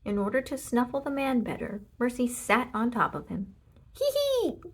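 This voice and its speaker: Husky woman reading a children's story. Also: a little high, normal speed. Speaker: storybook reader. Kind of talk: expressive children's read-aloud narration.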